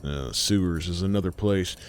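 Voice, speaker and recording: A man narrating a scary story in a folksy, colloquial way, theatrical and full of dramatic pauses. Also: low-pitched, a little hiss or hum.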